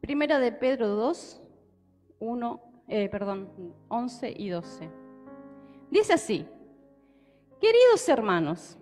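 A young Argentine woman preaches into a handheld mic, her high voice swooping up and down as she talks with her listeners. Her words ring out with the long reverb of a church hall, with soft music faint behind her. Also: slow pace, pauses often. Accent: Argentine Spanish. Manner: lively and conversational, often checking with listeners. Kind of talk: sermon with Bible reading. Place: church hall with a stage, indoors.

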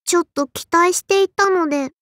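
A woman's voice: very high-pitched, normal speed, a bit bright, dry recording. A woman voicing a small, strict but kindly and dutiful sparrow girl for an anime game, in a childlike lisping voice with formal, cutesy phrasing. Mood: composed. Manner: formal yet cutesy, chirping verbal tics. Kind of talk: Japanese anime game character lines.

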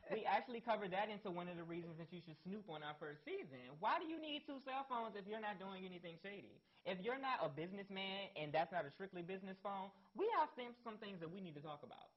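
A man's voice: sits high, lively intonation, quick pace, few pauses. A young man co-hosting a casual studio talk show, laughing often as he reads viewer letters aloud. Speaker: co-host. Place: TV studio set, indoors. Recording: dull lo-fi broadcast sound.

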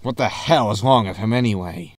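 An actor playing a bossy, irritable spaceship captain in a sci-fi comedy audio drama, blunt and impatient. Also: male voice, lowish voice, brisk, no room sound, close to an everyday voice. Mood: puzzled. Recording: music under the voice.

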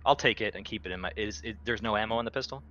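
Male tabletop player: low, fast, casual, on a slightly dull lo-fi track. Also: fairly bright tone. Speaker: player in a tabletop roleplaying game. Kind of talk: casual chat, working through game plans.

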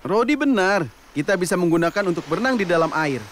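A man's voice: high-pitched, animated, quick pace. A man voicing an easygoing, friendly truck in a preschool cartoon, upbeat and reassuring. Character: easygoing, friendly green monster truck, forgetful helper. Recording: music under the voice.

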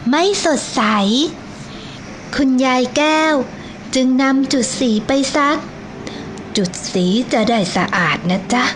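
A woman teacher narrating a children's storybook slowly and gently. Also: high voice, expressive, a little hiss or hum, rough recording. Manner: slow, gentle and clearly paced for children.

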